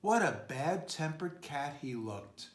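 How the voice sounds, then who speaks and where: A man reading a children's picture book aloud in an expressive, unhurried storytelling style. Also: a little high, a little hiss or hum. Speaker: storybook reader. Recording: roomy, echoing sound.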